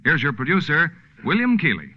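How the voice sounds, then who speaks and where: A man's voice: on the high side, wildly swooping pitch, brisk. A male announcer delivering scripted advertising on a 1940s radio show. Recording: dull 1940s radio recording.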